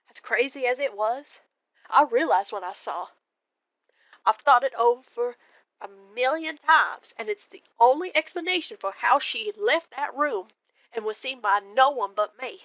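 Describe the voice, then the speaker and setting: A woman narrating a first-person ghost story in a deliberate, expressive, dramatic way. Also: fairly high pitch, no echo, dull audio. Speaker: storyteller calling in to a horror radio show.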